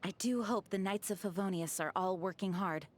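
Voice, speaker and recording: An actress voicing a dutiful young woman knight and leader, speaking in a measured, earnest, formal way. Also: mid-range voice, close to an everyday voice. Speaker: game character voice. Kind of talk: game character voice lines.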